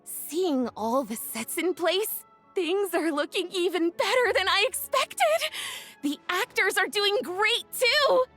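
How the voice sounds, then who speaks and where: Confident young woman director, high bright voice, unhurried, theatrical game dialogue, swooping melody, music behind. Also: lively intonation, full character voice. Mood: pleased. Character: spirited, self-assured young female film director. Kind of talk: animated game voice acting.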